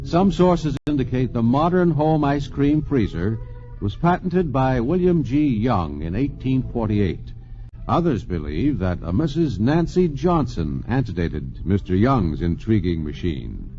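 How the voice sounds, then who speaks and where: Man narrating old radio doc, warm and mellow, lively delivery, muffled with music. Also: medium pitch, a little hiss or hum. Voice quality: measured, announcerly. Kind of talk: scripted documentary narration.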